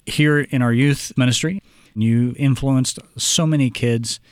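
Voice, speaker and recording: A man from Oklahoma hosting a Bible study discussion, speaking in a measured way and reading scripture aloud. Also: medium pitch, fairly even intonation, clean tone, no echo, clean studio sound. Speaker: podcast host, Bible teacher.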